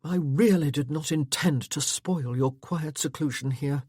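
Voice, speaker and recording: A woman voicing a blunt, war-hardened male army officer in a storybook reading, clipped and forceful. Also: deep voice, unhurried, slightly rough, no echo, studio quality. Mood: stern.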